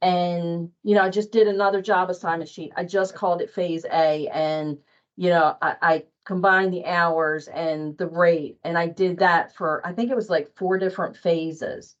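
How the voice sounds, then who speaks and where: A woman's voice: lowish voice, fairly even intonation, normal speed. Hesitant woman in a dull-sounding online meeting. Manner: hesitant, repetitive, thinking aloud. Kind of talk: casual work meeting discussion. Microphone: online meeting call.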